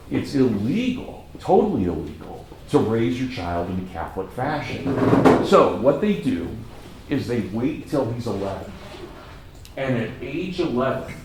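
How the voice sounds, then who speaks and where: A man with a Kansas accent giving a college lecture, telling history as a lively story and repeating words for emphasis. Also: fairly low pitch. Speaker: college professor. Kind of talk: classroom lecture. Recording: echoey classroom from far off, faint noise.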